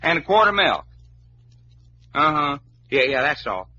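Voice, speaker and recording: An actor playing a folksy, hot-blooded adventurer with a big appetite and a Southern drawl, in old-time radio drama style. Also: male voice, fairly high pitch, big pitch swings, measured pace, bright, forceful tone, exaggerated voice. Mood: eager, brash. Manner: folksy drawl full of colorful slang. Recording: muffled, hissy old radio recording.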